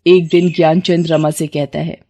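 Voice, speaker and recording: A woman's voice: sits low, level tone, normal speed, warm tone, dull audio, slight background noise. A woman storyteller reading a scripted family moral tale as narrator.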